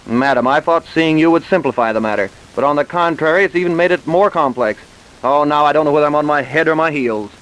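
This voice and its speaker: An actor playing a direct, businesslike police detective questioning people in an old-time radio drama. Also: male voice, a little high, fast talker, fairly bright tone, close to an everyday voice, poor audio. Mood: perplexed. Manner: direct, interrogating, emphatic. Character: probing, businesslike police detective, baffled by his case.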